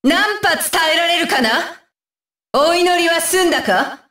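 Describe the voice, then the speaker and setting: A high, dry-recorded young warrior woman from an anime fighting game. She is stern and scornful, with widely swinging pitch and a deliberate, commanding delivery. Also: animated, sharp tone, dry recording, full character voice. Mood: fierce, threatening. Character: fierce, disdainful young shinobi warrior woman, dutiful and stern. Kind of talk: Japanese anime video game battle voice lines.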